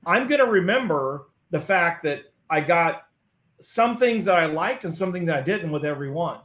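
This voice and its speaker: A man's voice: a little high, animated, moderate pace, fairly bright tone, reverberant. A man talking as a guest in a casual interview, earnest and often repeating words as he starts a thought. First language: English.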